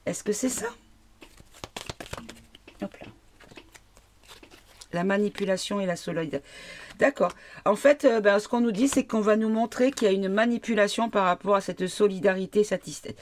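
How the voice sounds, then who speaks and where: A woman's voice: medium pitch, slight background noise. A woman with a cold, reading tarot cards for her viewers in a casual, hesitant way, often asking the cards questions aloud. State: has a cold. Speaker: tarot card reader.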